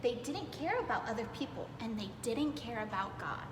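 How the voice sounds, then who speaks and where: A woman reading aloud to young children in a playful, expressive way, dwelling on words for emphasis. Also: a little high, moderate pace. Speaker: preschool storytime reader. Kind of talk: animated children's read-aloud. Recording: echoey reverberant room with clear background noise.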